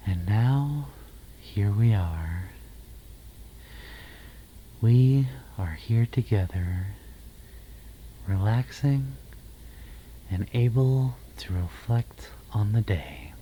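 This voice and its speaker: A man guiding a meditation in ASMR style, speaking softly and breathily, half in a whisper, with a soothing, gentle manner and pauses between phrases. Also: lowish voice, animated, muffled recording, a little hiss or hum.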